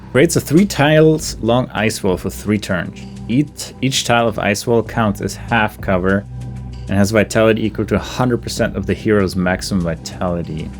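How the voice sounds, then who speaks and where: A man's voice: fairly low pitch, a little mellow. A man doing casual gameplay commentary, thinking aloud in a hesitant, musing way that trails off mid-thought. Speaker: video game player and commentator.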